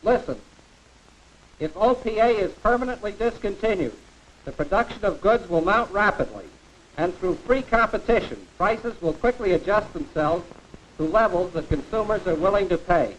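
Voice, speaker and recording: A man's voice: high-pitched, lively intonation, moderate pace, rough recording. An actor playing a pompous, emphatic American pro-business man lecturing in a 1940s educational film with a hissy soundtrack. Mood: self-assured.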